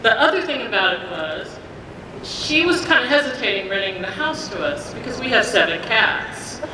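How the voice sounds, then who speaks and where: A man's voice: high-pitched, animated, moderate pace. A man fan telling a chatty story at a convention microphone. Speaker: fan asking a question. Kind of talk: casual chat. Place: large convention hall. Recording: echoey hall from far off with noise.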